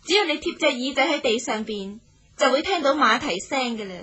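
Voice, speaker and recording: A young martial maiden in a radio drama. Her voice is high, sharp and a little husky, with a playful, coy confidence. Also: lively intonation, a little fast, muffled recording, full character voice. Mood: confident. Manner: coy, playful, confident, sentence-final lilting particles. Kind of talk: Cantonese radio drama acting.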